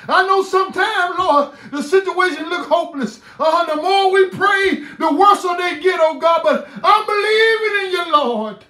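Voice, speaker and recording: A man's voice: very high-pitched, fairly bright tone. A middle-aged man leading a fervent spoken prayer, pleading and calling out over and over with great passion. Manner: impassioned, pleading, repeated cries to God. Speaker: prayer leader. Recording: roomy echo.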